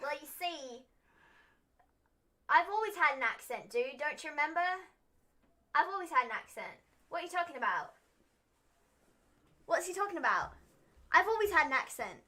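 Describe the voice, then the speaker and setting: A woman's voice: high voice, very animated, moderate pace, long pauses, pushed and bright, pitched above the performer's own voice, full character voice. Young woman faking a British girl accent. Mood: mock insistent. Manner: insistent, playful denial.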